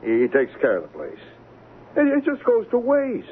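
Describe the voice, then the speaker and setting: An actor playing a smooth-talking, persuasive male swindler in a 1970s radio drama. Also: sits high, huge pitch swings, moderate pace. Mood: hesitant, coaxing. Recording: tinny band-limited old radio broadcast, noisy.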